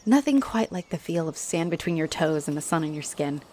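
Woman playing a friendly halfling orc, lowish voice. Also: fairly quick, slight background noise. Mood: cheerful, playful. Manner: warm, playful, expressive. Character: friendly, flirtatious female halfling orc adventurer. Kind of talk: roleplay audio, speaking directly to the listener.

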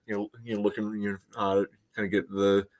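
Lower-voiced man in casual sports chat. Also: monotone, darkish tone, poor audio. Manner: halting, peppers talk with "you know". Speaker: co-host of a soccer fan podcast.

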